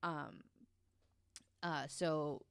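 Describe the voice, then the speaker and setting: A woman's voice: low-pitched, steady tone, very slow, clean tone, dry recording, studio quality. A woman telling a true story casually on a podcast, reading quotes aloud in an informal, hesitant way.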